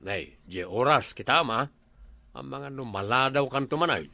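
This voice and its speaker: A man's voice: mid-range voice, lively intonation, normal speed, gravelly, bright, forceful tone, no room sound. A man with an Ilocano accent playing a teasing, nagging village husband in a comedy drama.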